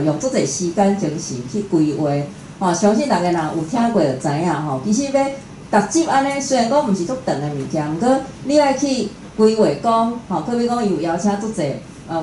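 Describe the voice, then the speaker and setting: A woman's voice: lowish voice, warm tone. A woman with a Taiwanese accent, hosting and giving an informal introduction at a live talk. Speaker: event host introducing a guest speaker. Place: indoor room at a live talk event. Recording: echoey room with faint noise.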